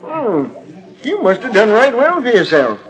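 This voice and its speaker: A man playing a chatty, excitable small-town storekeeper with an American accent in a 1940s radio western, from an old disc recording. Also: very high voice, measured pace, full character voice, poor audio. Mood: chatty, friendly. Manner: folksy, gossipy.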